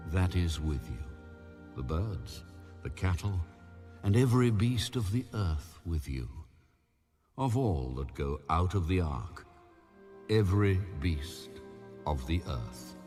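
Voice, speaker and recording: A man narrating scripture in a solemn, measured, grave manner with deliberate pauses. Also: low voice, lively intonation, over music. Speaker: audio Bible narrator.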